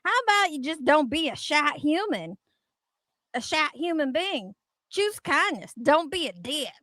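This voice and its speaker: A woman's voice: high-pitched, sing-song, unhurried, a bit bright, no room sound, studio quality. A woman co-host giving playful, sweary dramatic readings of online comments, with banter in between.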